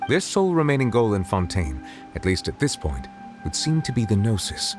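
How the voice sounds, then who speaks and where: A man voicing a solemn, dignified dragon who serves as chief judge in a video game, speaking in a formal, measured, grave manner. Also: on the low side, big pitch swings. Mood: resolute, formal. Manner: formal, measured, eloquent, reserved. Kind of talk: video game character dialogue. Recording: game dialogue over background music.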